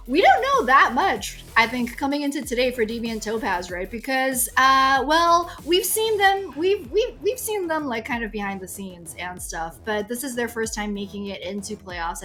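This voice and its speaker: Chatty woman esports caster. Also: fairly high pitch. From Singapore. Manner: chatty and animated, laughs often. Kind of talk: esports desk commentary.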